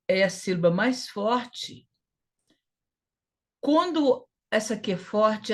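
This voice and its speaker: A woman with a Brazilian Portuguese accent giving an informal English lesson, sounding out syllables emphatically and repeating key words. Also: mid-range voice. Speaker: English teacher.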